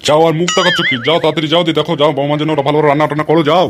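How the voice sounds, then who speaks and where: A man's voice: on the high side, level tone, rapid-fire, noisy background, close to an everyday voice. A man doing a sly old cartoon father-in-law. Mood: bossy. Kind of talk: cartoon dubbing. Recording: clear music behind.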